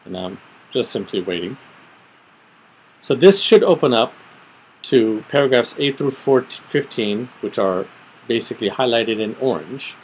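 A middle-aged man with a medium-pitched voice talks through a computer task in an informal tutorial style. He goes methodically step by step, pausing as he thinks aloud. He is recorded at a desk in a small room on a computer microphone, with noticeable background noise. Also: animated, rough recording. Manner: methodical, step-by-step explaining with pauses. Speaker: tutorial presenter. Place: small room at home, indoors.

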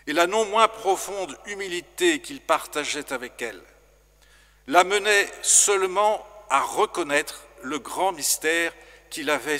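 A middle-aged man preaching a formal sermon as a priest, slow and measured, into a stand microphone in a large stone church. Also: fairly high pitch, sharp tone. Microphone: stand microphone in front. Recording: long church reverb.

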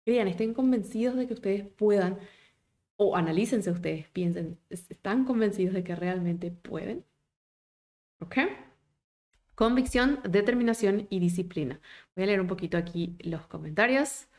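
A woman, a German teacher in a live online class who talks with lively encouragement and asks her viewers many questions. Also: mid-range voice, normal speed. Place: small home office indoors.

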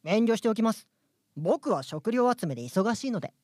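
A man's voice: high voice, sing-song, moderate pace, a little mellow, no echo, clean studio sound. A man playing an earnest, prim young worker ant who protests indignantly in a radio drama. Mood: huffy, prim. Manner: indignant, polite, dutiful. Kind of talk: live radio drama acting. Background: music.

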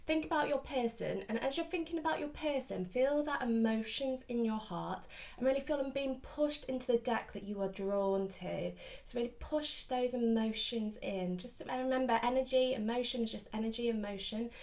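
A woman's voice: on the high side, normal speed, a little mellow. A woman in her thirties gives a casual, chatty tarot reading to camera at home, slightly sniffly with hay fever. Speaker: tarot reader, host. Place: small furnished room at home, indoors.

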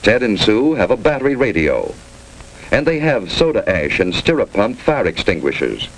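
A man's voice: on the low side, sing-song, normal speed. A man with an American accent narrating a 1950s instructional film in clipped, authoritative instructions. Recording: noisy old 1950s film soundtrack.

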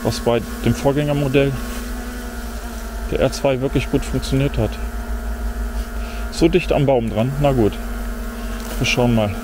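A man's voice: mid-range voice, measured pace, pauses often. A middle-aged man giving casual running commentary to himself while testing a drone outdoors, muttering mildly disappointed remarks. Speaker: drone vlogger. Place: outdoors in a forest. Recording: outdoor recording with audible insect noise. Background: insects buzzing and faint outdoor ambience.

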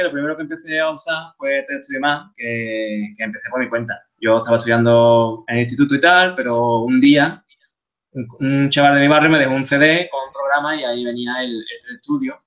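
A man in his thirties with an Andalusian Spanish accent, giving a relaxed, chatty talk over slides, with frequent restarts and fillers. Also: mid-range voice, brisk, highs cut off. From Seville, Spain. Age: 30s. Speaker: guest speaker, game artist.

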